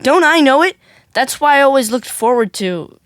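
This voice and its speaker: A man's voice: very high-pitched, lively intonation, normal speed, fairly bright tone, no echo, studio quality. An actor playing a stubborn, literal-minded boy in an audio comedy drama, snarky and questioning, with an American accent. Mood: wry, resigned.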